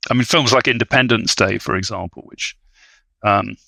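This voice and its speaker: A man with a bright, fairly low voice discusses film as a guest. He sounds scholarly and measured, picking his words with some hesitation, and the recording is very dry. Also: vocal fry, no room sound. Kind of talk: conversational film analysis.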